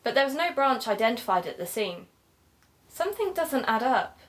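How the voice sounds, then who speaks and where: A woman playing a keen, sociable amateur investigator and podcaster in an audio drama, talking in a measured, conversational way. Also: fairly high pitch, sing-song. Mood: thoughtful.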